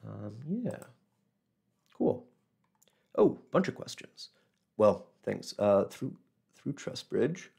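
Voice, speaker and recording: A man in his thirties with a hoarse, scratchy voice from a cold, hosting a casual local history livestream from a small office on a webcam mic, rambling with many ums and restarts. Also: lowish voice, sing-song, takes it slowly, long pauses, darkish tone.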